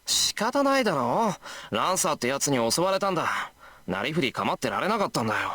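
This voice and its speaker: A man's voice: on the high side, big pitch swings, racing, sharp tone, no room sound, heavily acted. A man voicing an earnest, blunt teenage boy who is an amateur magus in a Japanese visual novel. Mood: defensive.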